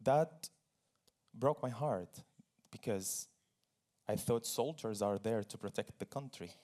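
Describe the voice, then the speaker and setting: A man's voice: on the low side, takes it slowly, darkish tone. Earnest man telling his own story. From Syria. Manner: earnest, laughs between phrases, repeats for emphasis. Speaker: guest speaker, dancer. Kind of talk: stage conversation, personal story. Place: stage at a live forum.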